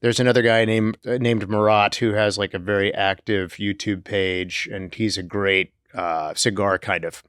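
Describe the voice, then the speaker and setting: A man's voice: lowish voice, flat delivery, moderate pace, hardly any pauses, a bit bright, clean tone, no room sound, clean studio sound. A middle-aged man co-hosting a podcast in casual, jokey conversation. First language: Turkish. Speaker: podcast co-host. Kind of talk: casual chat.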